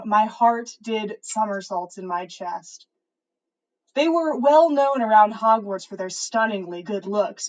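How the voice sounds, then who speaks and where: Higher-pitched woman reading a story aloud. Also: rough recording. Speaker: podcast host reading listener fan fiction. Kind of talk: storytelling read-aloud on a livestream.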